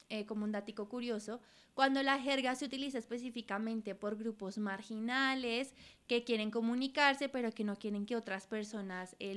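Clear-voiced woman radio co-host. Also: fairly high pitch, fairly bright tone. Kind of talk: educational radio talk.

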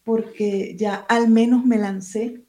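Woman writer, mellow tone, hesitant, reads her own prose aloud. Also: on the high side, steady tone, slow. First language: Spanish. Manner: hesitant, searching for words. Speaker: writer and teacher. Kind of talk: interview answers and reading from her book.

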